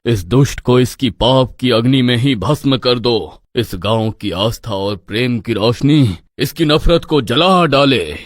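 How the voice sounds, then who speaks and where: A man's voice: mid-range voice, animated, a little slow, few pauses, no room sound, studio quality, close to an everyday voice. A man playing a stern, righteous village priest in a moral tale, solemn and commanding. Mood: fervent. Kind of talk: dramatic moral-tale voice acting.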